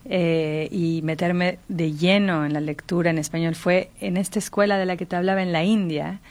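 Lower-pitched Mexican woman, thoughtful talker. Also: steady tone, normal speed. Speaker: interviewee, writer. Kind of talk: reflective literary conversation.

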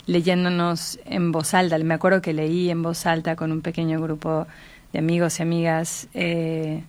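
A woman's voice: deep voice, monotone, moderate pace, a little mellow. A middle-aged Mexican woman speaking as a guest in a reflective literary conversation, articulate and thoughtful. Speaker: interviewee, writer.

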